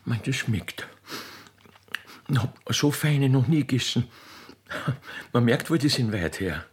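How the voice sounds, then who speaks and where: A man voicing a naive, chatty railway keeper's daughter with an Austrian accent in a radio play, halting and repeating words eagerly. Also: medium pitch, animated, slightly rough. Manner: halting, repetitive, rustic and eager. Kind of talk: radio play character acting.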